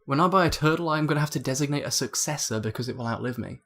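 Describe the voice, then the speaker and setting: Female Let's Play co-host: very low-pitched, casual chat, faint background noise. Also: rapid-fire. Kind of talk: casual commentary over game cutscenes. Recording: faint noise, game audio behind.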